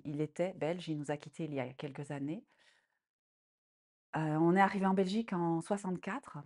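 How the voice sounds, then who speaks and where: A woman storyteller in her sixties, from Congo, with a somewhat low voice. She talks in a halting, unscripted way, full of fillers and restarts. When she tells the tale she grows lively. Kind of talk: personal account and folk tale.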